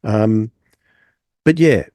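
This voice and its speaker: A man co-hosting a podcast in casual review chat, talking in a halting, discursive way. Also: lowish voice, dark tone, no room sound, studio quality. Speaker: podcast co-host.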